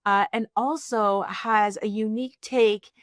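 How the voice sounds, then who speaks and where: A woman's voice: medium pitch, fairly even intonation, a little slow, no room sound, studio quality. Woman podcast host introducing and interviewing.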